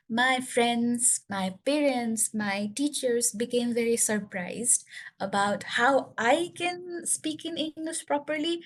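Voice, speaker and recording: A young woman from Nepal talks earnestly about how she learned English, with a Nepali accent. Her first language is Newari, and she explains at length, pausing on uh and um. Her voice sits somewhat high. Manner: earnest, explanatory, frequent uh and um fillers. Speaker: speaker sharing her English learning story. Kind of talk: prepared personal talk to learners.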